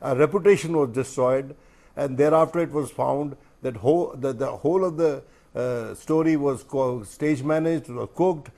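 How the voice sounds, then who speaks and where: A man with an Indian accent giving news panel commentary in a deliberate, halting way, often searching for words. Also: medium pitch, dark tone.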